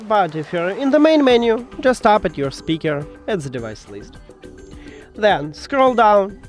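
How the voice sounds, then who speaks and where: Lilting man giving a tutorial. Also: a little high. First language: not English. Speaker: tech tutorial host. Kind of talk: step-by-step spoken tutorial.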